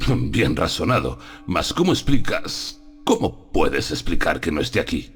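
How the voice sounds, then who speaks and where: Man voicing a very deep, grave old professor. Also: sing-song, husky, soft background music, full character voice. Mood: sardonic, insistent. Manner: deliberate, dramatic questioning. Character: grave older male professor, methodical and insistent. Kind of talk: audiobook character voice.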